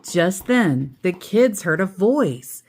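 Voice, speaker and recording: A woman reading a children's picture book aloud in an expressive, deliberate storyteller's manner. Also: on the low side, husky.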